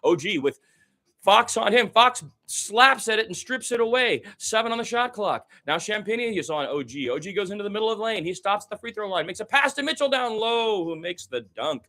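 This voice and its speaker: A man's voice: high voice, lively intonation, fairly quick, sharp tone. A man doing live radio play-by-play of basketball, describing the action in running detail with short exclamations and asides.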